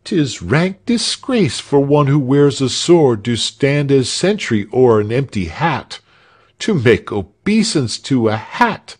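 A man's voice: mid-range voice, very animated, takes it slowly, voice pushed up. A man voicing a thin, proud soldier who complains in old-fashioned speech. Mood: indignant.

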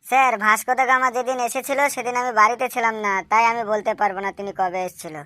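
A woman voicing a cheeky schoolchild in a comic cartoon, answering in a deadpan, literal-minded, politely impudent way. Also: on the high side, fast talker, no room sound. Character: cheeky schoolchild, earnest-sounding classroom smart aleck. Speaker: cartoon voice actor.

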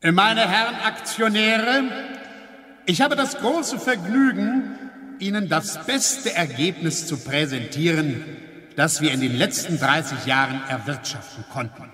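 An actor playing a self-satisfied company boss in a radio drama, pompous and triumphant, speaking in an echoey hall. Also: male voice, a little high, animated, a little slow, pushed and bright. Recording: echoey hall with long reverb.

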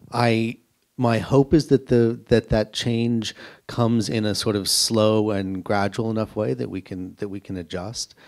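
A man speaking as a panelist in a conversational, hesitant way, often repeating words. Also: lowish voice. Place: indoor auditorium panel before an audience. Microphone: handheld microphone.

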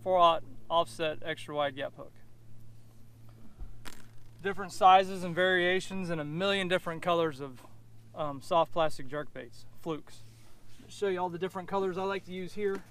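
A man in his thirties giving a casual how-to fishing lesson on a boat, talking in a relaxed, matter-of-fact way as he rigs the bait. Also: high-pitched, moderate pace, smooth. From Central Florida. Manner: relaxed, matter-of-fact, thinks aloud while rigging. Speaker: fishing instructor. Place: outdoors on a boat on a lake.

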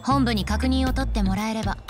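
An actress playing a confident young heiress in a game cutscene, polite and assured with a playful, teasing edge. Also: female voice, fairly high pitch, quick pace, loud music behind the voice, lightly acted. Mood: poised, formal. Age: young adult. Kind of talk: Japanese game cutscene acting.

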